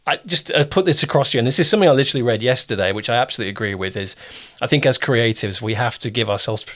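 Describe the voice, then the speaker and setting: Man, British accent, medium pitch, casual interviewer, encouraging, halting phrasing. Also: a bit bright. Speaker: interviewer, producer.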